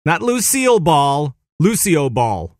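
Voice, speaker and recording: A man hosting a casual gaming podcast, animated and excitable, laughing often as he explains things. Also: on the high side, slow, no echo, studio quality. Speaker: podcast host.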